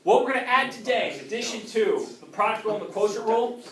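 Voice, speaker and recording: A man's voice: high voice, lively intonation, fast, crowd noise. A male math teacher lecturing a class, often checking in with "okay?". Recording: roomy classroom audio from a distant camera.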